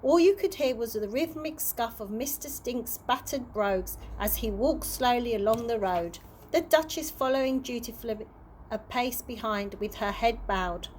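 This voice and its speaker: A woman with a fairly high voice reads a children's story aloud. She tells it expressively, with the odd small stumble. There is some background noise on the recording. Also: normal speed, a little mellow, poor audio. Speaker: early years practitioner reading stories.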